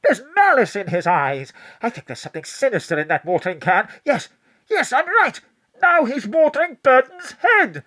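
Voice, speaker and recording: A woman voicing an excitable sidekick who reports events urgently and breathlessly. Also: fairly high pitch, moderate pace. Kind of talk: radio play acting.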